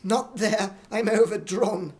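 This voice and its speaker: A man playing an excitable, blustering, gullible hero with a British accent in a radio comedy, frantic and exclamatory. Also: sits high, slow pace. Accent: imitated British. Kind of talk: 1950s radio comedy acting.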